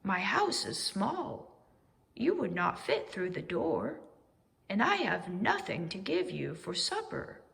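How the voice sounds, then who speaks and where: An earnest male owl from a children's storybook, acted out by a woman. He is friendly and simple-hearted, speaking deliberately with lively, swinging pitch and a gravelly creak. The sound rings with long reverb. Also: exaggerated voice. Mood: gently pleading.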